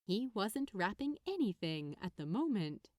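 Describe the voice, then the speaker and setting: A woman reading fiction aloud as narrator in an expressive storytelling style. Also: mid-range voice, unhurried, dry recording, clean studio sound.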